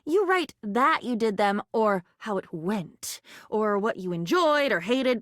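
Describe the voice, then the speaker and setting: A woman voicing a whimsical, neurotic living diary in a video game, with a theatrical, rambling way of talking that swings between wonder and excitement. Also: a little high, dry recording, clean studio sound, heavily acted. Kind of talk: video game character acting.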